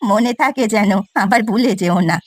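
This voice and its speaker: A woman voicing a stingy, sharp-tongued old village woman in a cartoon, with a drawn-out way of talking. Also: mid-range voice, no room sound, natural voice. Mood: wheedling. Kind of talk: Bengali village cartoon voice acting.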